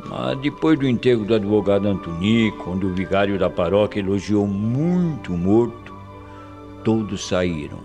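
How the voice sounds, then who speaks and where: Low-voiced old Brazilian country colonel. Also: male voice, expressive, normal speed, warm tone, faint music behind. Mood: confiding, eerie. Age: older. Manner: suspenseful, folksy storytelling. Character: old country colonel spinning an eerie tale. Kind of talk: radio mystery drama acting.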